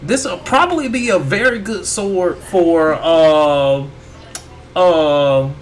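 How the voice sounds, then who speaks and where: A man's voice: on the high side, slow, slight background noise. A man giving casual, slangy commentary on a video game as he plays. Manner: slangy, informal. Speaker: gaming streamer. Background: faint game music.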